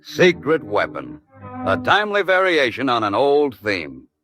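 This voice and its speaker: An actor playing a solemn, questioning narrator in a wartime documentary radio drama. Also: male voice, medium pitch, huge pitch swings, unhurried, bright, forceful tone, faint music behind, full character voice. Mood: pointed, wry.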